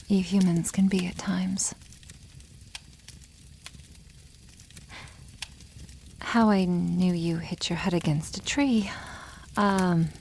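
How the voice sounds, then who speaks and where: A woman voicing a proud, teasing dragon girl in an ASMR roleplay, speaking softly and often near a whisper, with wry condescension toward humans. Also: fairly low pitch, creaky, a little hiss or hum. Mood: wry, musing. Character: proud, teasing young female dragon, protective elder sister. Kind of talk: ASMR roleplay, addressing the listener.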